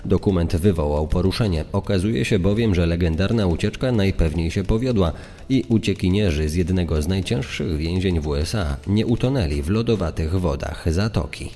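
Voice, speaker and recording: An actor playing a news reporter, formal and measured, in a dramatised report. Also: male voice, deep voice, mellow. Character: male news reporter announcing a sensational discovery. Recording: long echoing reverb.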